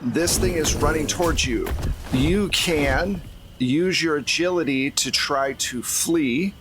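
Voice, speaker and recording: A man's voice: on the high side, slow pace, noisy background. A man running a tabletop roleplaying game, narrating and explaining in a conversational, improvised way. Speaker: game master.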